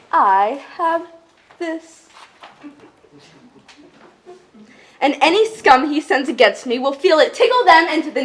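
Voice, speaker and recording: A woman's voice: sits high, a bit bright. A woman playing a brave, defiant young princess with bold stage delivery in a musical reading. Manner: bold, declamatory. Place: indoor stage at an arts venue.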